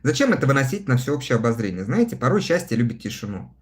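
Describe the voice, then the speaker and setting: A man's voice: mid-range voice, very animated, fast talker. A man in his thirties chatting casually on a livestream, reading viewer comments aloud and answering them between laughs. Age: 30s. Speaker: live streamer reading viewer chat.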